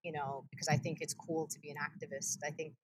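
A woman's voice: deep voice, level tone, low-quality recording. A woman, speaking earnestly and passionately as an activist in an interview. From United States. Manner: earnest, passionate, fast and emphatic. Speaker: activist guest. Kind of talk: interview conversation.

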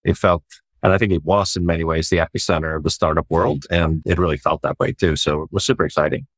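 A man in his forties with a very low voice, talking through his career at an easy, thoughtful pace. The sound is dry and close, with no room echo. He leans on "you know" as he thinks. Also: fast. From Sweden. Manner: relaxed and reflective, with frequent "you know" fillers and light laughs. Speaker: startup founder and CEO. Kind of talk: casual interview answers.

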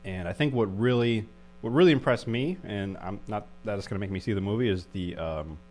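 A man's voice: lowish voice, brisk, a little mellow. A man co-hosting a podcast in casual chat, hesitant with many fillers and restarts. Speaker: podcast co-host. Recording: faint mains hum.

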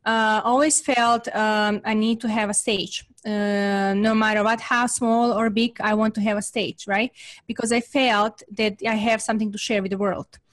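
Earnest Serbian-accented woman, higher voice. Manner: earnest, repeats "right?" often. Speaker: guest entrepreneur. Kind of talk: motivational interview talk.

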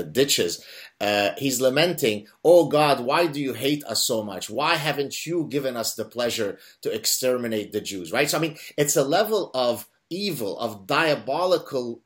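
A man whose first language is Arabic, hosting an interview and telling a story in an animated, emphatic way. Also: mid-range voice. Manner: animated, emphatic, with self-corrections. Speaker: host.

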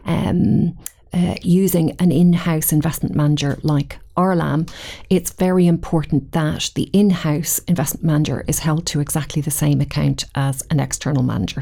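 Mellow-voiced Irish woman, measured interview answers. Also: low voice, vocal fry. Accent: Irish, Dublin. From Ireland. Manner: measured, thoughtful, occasional ums and restarts. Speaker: guest, committee chair. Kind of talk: podcast interview.

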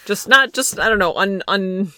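A woman's voice: mid-range voice, normal speed, pushed and bright, clear, resonant tone, no echo. A woman co-hosting a casual film chat, talking in an informal, loose way full of filler "like"s. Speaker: podcast co-host.

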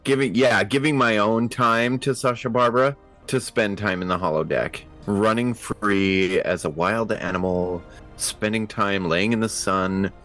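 A man with a fairly low voice plays a tabletop game over faint music. His talk is casual and playful, like chat among friends. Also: measured pace, a bit bright.

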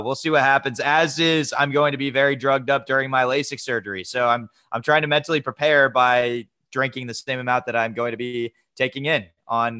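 Bright, forceful male co-host, rambling and hesitant, very dry close sound. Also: medium pitch, smooth, no room sound. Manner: rambling, hesitant, full of uh and repeats. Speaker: podcast co-host. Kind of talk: casual chat.

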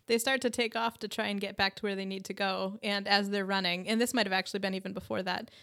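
Woman, podcast co-host, casual chat, quick to laugh, self-deprecating. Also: mid-range voice, level tone, gravelly, fairly bright tone. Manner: laughs easily, frequent agreeing backchannels, self-deprecating.

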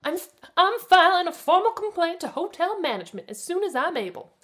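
A woman's voice: sits high, sing-song, moderate pace, a bit bright, pitched above the performer's own voice. A woman voicing a snooty, crotchety rich older lady with an affected accent and a haughty, indignant manner. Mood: shrieking, haughty. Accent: put-on, affected.

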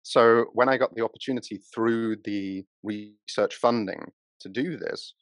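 A man's voice: lowish voice, fairly even intonation, a little slow, no room sound. A man, a university researcher, explaining his work in an academic, explanatory way during a conversational interview. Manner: explanatory, academic, with frequent restarts. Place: Japan.

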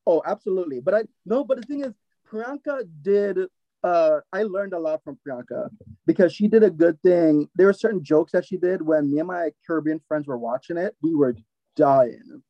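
Higher-pitched Jamaican man, dull dry recording. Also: expressive, moderate pace, dry recording. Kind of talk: casual chat.

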